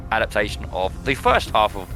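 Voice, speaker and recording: A man's voice: on the low side, wildly swooping pitch, a little fast, noisy background. A man hosting a podcast in a casual film discussion, conversational and repeating phrases for emphasis. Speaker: podcast host.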